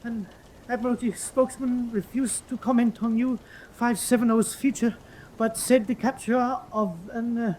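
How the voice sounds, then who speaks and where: High-pitched, panicked naval officer. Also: male voice, steady tone, normal speed, a little mellow, poor audio. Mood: frightened, evasive. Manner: stammering, pleading, defensive. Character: panicked, cowering male German U-boat officer under interrogation. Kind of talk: radio drama acting. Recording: faint background noise.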